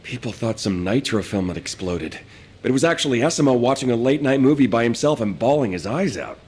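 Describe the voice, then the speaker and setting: A man's voice: mid-range voice, animated, fast, a little mellow, breathy edge. An actor playing a scheming, wisecracking young male fighter in a video game cutscene. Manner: joking, scheming.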